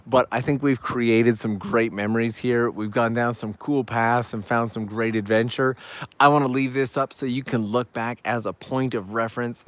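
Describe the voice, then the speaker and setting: A man hosting a live travel stream outdoors, chatting upbeat to viewers while walking, his voice going hoarse. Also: medium pitch. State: losing his voice. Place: outdoors on town backstreets at evening.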